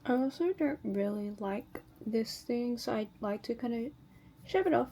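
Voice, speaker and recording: A woman's voice: on the high side, animated, normal speed, dark tone, slight background noise. A woman chatting casually to viewers as a beauty vlogger, informally narrating each step of a routine. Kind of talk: casual tutorial chat to viewers.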